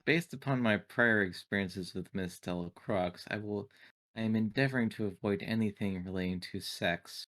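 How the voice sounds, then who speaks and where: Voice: low-pitched, moderate pace, a bit bright. A player voicing a synthetic android in a tabletop roleplaying game, speaking in formal, stilted, clinical wording with detached, naive curiosity.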